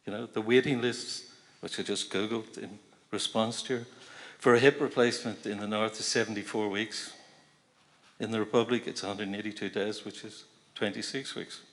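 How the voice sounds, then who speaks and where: A man's voice: fairly low pitch, steady tone, moderate pace, fairly bright tone. A man speaking from the floor at a public meeting, listing figures point by point. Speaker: audience member. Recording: long hall reverb.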